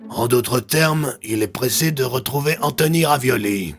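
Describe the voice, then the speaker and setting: Man playing a brisk squad leader. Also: medium pitch, lightly acted. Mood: businesslike.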